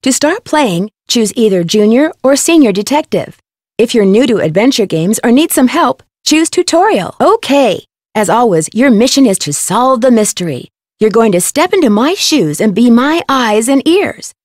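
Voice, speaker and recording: A woman's voice: fairly high pitch, very animated, normal speed, darkish tone, no room sound, studio quality. A woman voicing a plucky, curious young woman detective in scripted lines for an adventure game. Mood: friendly, instructive.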